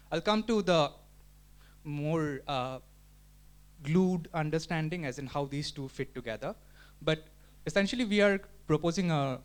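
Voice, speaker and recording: A man giving an explanatory talk at a community meetup, thinking aloud with frequent restarts and filler words. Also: on the high side. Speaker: community presenter. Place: meetup room.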